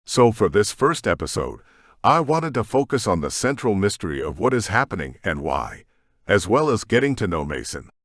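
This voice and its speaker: Brief: male author-narrator, lowish animated voice, dry close studio sound. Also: moderate pace, no echo. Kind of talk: scripted audiobook narration.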